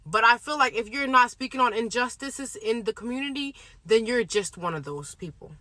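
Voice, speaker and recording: Indignant woman, bright dry voice. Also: fairly high pitch, lively intonation, a little fast, no room sound. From Somalia. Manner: indignant, repeats mocking quotes. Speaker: social media commenter. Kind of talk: heated monologue to camera.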